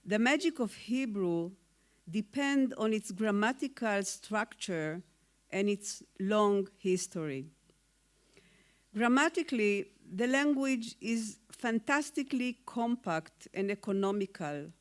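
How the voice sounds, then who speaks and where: A woman whose first language is Hungarian, a poet giving a formal acceptance speech in a slow, measured, deliberate reading. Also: medium pitch, animated. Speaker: award-winning poet.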